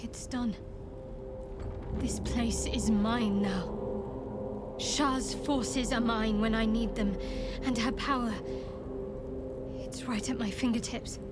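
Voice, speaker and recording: A proud, steely young dark priestess in a fantasy game. Her voice sits fairly high and moves slowly, haughty and guarded, with music behind it. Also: fairly even intonation. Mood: proud, resolute. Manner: measured, haughty, dramatic pauses. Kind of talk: dramatic video game acting.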